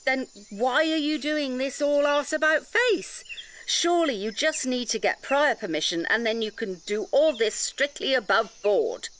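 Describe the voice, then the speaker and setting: An actress playing an indignant, articulate defender of a nature sanctuary in an audio drama, challenging and emphatic. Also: female voice, high voice, lively intonation, unhurried, fairly bright tone, slight background noise. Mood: puzzled, challenging.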